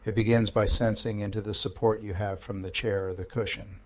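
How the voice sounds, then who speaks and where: A man leading a guided meditation as a counselor, speaking in a calm, measured, gentle instructional way with pauses. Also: on the low side, no echo.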